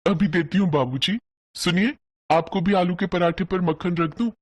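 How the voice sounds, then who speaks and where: A man's voice: sits high, moderate pace, pitched above the performer's own voice. A man voicing a sweet, dutiful young village bride, speaking politely and earnestly in a bedtime story.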